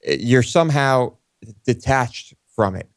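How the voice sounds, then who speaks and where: A man's voice: medium pitch, animated, slow, dry recording, studio quality. A man chatting casually as a co-host on a talk show. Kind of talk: casual studio chat.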